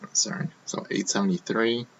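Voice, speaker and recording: Low-voiced man losing his voice. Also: creaky, pushed and bright, slightly rough, lo-fi sound. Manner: casual, running commentary while opening packs. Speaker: card break host. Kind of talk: live-streamed card box break.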